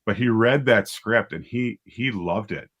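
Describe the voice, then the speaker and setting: Male, low-pitched voice, relaxed interview guest; a writer-director chatting through anecdotes. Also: unhurried, husky. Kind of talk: casual interview chat.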